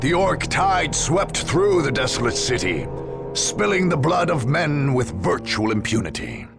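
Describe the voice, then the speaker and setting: An actor playing a grave chronicler of war, giving portentous scripted narration for a video game. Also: male voice, mid-range voice, wildly swooping pitch, vocal fry, heavily acted. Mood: grave, ominous. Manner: portentous, measured storytelling. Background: music.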